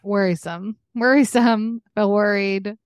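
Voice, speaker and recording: A woman's voice: medium pitch, fairly even intonation, slow pace, clear, resonant tone, dry recording. A woman co-hosting a podcast in casual conversation, reacting with dry understatement. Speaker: podcast co-host.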